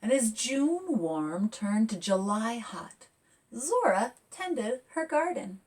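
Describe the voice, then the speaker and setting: A woman children's author talking and reading a picture book aloud for young listeners in an expressive, engaging way, as if asking them along. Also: a little high, takes it slowly, slightly rough, recorded from a distance.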